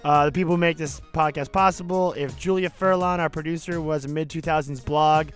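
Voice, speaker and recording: A man's voice: on the high side, fairly even intonation, fairly quick, faint music behind. A man co-hosting a podcast, bantering in a sarcastic, jokey way. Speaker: podcast co-host. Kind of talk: casual comedic podcast banter.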